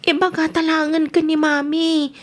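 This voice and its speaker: Woman acting a high-voiced, anxious Ilocano daughter. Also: little pitch movement, moderate pace, natural voice. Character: anxious young daughter, pleading and protective of her family. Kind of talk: radio drama acting.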